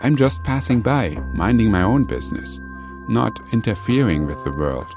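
A man's voice: fairly low pitch, moderate pace. Musing man over music. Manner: musing, calm and introspective. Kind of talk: reflective voice-over.

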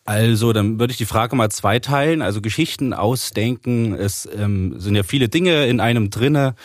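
A man's voice: medium pitch, normal speed, dry recording, clean studio sound. A man speaking as an interview guest, explaining things casually and a little hesitantly as he thinks aloud. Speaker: interview guest, author and poetry slammer.

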